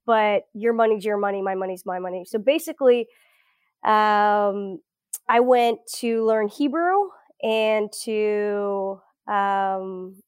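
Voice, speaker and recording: A woman's voice: mid-range voice, clear, resonant tone, dry recording, clean studio sound. An American woman in her thirties chatting reflectively as an interview guest, telling her story in a casual way. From South Florida, US. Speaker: podcast guest, academic. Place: at home indoors.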